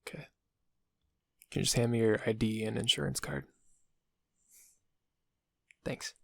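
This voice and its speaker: An actor playing a friendly but subtly sinister dental receptionist in an audio roleplay, casual and polite, with a husky, gravelly voice. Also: male voice, medium pitch, steady tone, unhurried, dry recording, studio quality. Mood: friendly, businesslike. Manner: casual, polite, quietly insinuating. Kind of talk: intimate audio roleplay acting.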